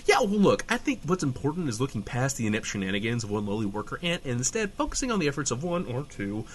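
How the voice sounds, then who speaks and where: A man's voice: mid-range voice, big pitch swings, fast talker, a little hiss or hum, lightly acted. A man playing a bumbling, chipper radio host in a comedic audio drama, with glib, wordy banter.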